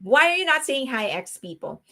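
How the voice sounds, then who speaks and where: A woman's voice: high voice, big pitch swings, moderate pace, pushed and bright. A woman with a Filipino accent hosting a live stream, chatting casually with her viewers, laughing often and restarting her sentences. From Philippines. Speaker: livestream host.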